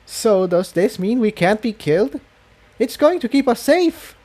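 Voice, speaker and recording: A man voicing an earnest, excitable young female pony in a storybook adventure. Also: extremely high pitch, a little slow, pitched above the performer's own voice, full character voice. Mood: hopeful.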